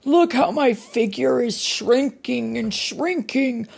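A woman's voice: fairly high pitch, lively intonation, slow, gravelly, darkish tone, breathy edge, pitched above the performer's own voice, full character voice. A woman voicing a huge, boastful male giant who whines about hunger in a storybook reading. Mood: whining, pleading. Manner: whining, then gleeful.

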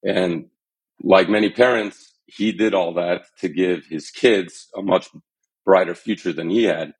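A man in his thirties, a company founder telling his founding story in a podcast interview, earnest and measured. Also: low voice, slightly rough, low-quality recording. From Armenian, born in Iran, raised in the US. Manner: earnest and measured, some fillers. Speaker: startup founder and CEO, podcast guest.

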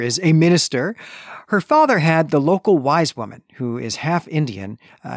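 A man's voice: fairly high pitch, dry recording, studio quality. A man co-hosting a podcast, recapping and discussing a book in an explanatory, conversational way with rhetorical check-ins. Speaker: podcast co-host.

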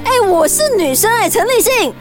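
A very high-pitched, feisty high-school girl. She exclaims and teases, full of herself and lovesick, in a youth radio play with light music underneath. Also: moderate pace. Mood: hurt, blurting. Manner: animated, exclaiming, teasing. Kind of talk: youth radio drama acting.

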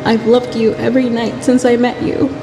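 A woman's voice: on the high side, level tone, moderate pace, natural voice. A woman playing a worried, searching woman in love in an audio drama, earnest and emotional. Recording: echoey room. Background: music.

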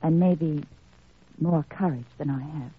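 A woman's voice: sits low, measured pace, husky, heavily acted. An actress playing a proud, fragile young female patient, tremulous and melodramatic in old-time radio drama style. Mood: timid, hopeful. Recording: tinny, band-limited old radio recording.

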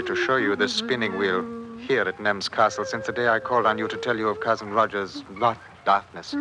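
An actor playing a scheming, jealous English gentleman in an old-time radio drama, with formal archaic phrasing. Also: on the low side, lightly acted. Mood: smoothly courting. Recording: noisy old radio recording.

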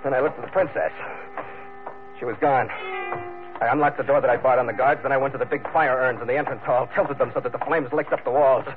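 A man's voice: medium pitch, fairly even intonation, quick pace, close to an everyday voice. An actor playing a tough, hardboiled ship captain narrating his adventures in terse old-time radio drama style. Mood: grim, measured. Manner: terse, gritty, clipped sentences. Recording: tinny vintage radio broadcast, music underneath.

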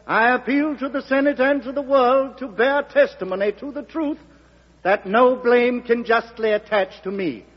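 High-pitched orating senator, booming reverb. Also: male voice. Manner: oratorical, solemn, measured. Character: grandiose male senator giving a solemn farewell speech. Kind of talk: old-time radio drama oratory. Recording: lo-fi old radio, long hall reverb.

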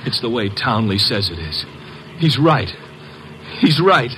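A man's voice: mid-range voice, sing-song, unhurried, bright, forceful tone, a little hiss or hum. An actor playing an unstable young man, lovesick and paranoid, in a 1940s radio drama, pleading and then turning frantic. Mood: bitter.

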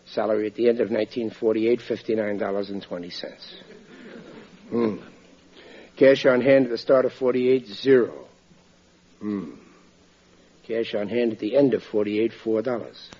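An actor playing a hapless, stubbornly optimistic working-class husband in a 1940s radio sitcom. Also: male voice, fairly low pitch, moderate pace, pauses often, close to an everyday voice. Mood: muttering, glum. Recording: dull vintage 1940s radio recording.

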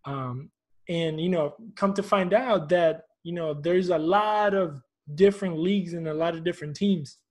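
A man's voice: on the high side, normal speed. A man from Houston hosting a casual, informal soccer interview show, chatting in friendly slang. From Houston, United States.

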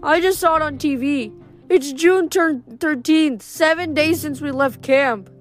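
A woman's voice: sits high, unhurried, faint music behind, pitched above the performer's own voice. A woman voicing a glum, earnest young male friend in a storybook.

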